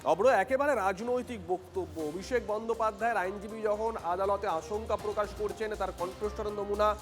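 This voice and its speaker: A man's voice: sits high, brisk. A man with a West Bengal Bengali accent hosting a live television news panel, steering guests with brief acknowledgements. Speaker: news debate host. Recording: echoey reverberant sound over music bed.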